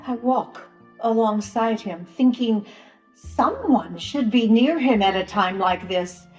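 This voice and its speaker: A woman playing a sorrowful, troubled grandmother in a solemn Passion drama monologue, pausing long between phrases. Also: on the high side, animated, a little mellow. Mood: troubled, grieving. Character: sorrowful grandmother in occupied ancient land, a troubled bystander. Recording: roomy echoing church sound with music.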